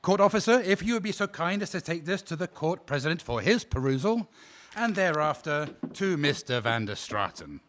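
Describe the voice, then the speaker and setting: A man's voice: fairly high pitch, big pitch swings, moderate pace, a bit bright, slight background noise. A man playing a defense counsel in a courtroom radio drama, questioning a witness politely but insistently. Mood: probing. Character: male defense counsel, formal and probing. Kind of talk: audio drama acting.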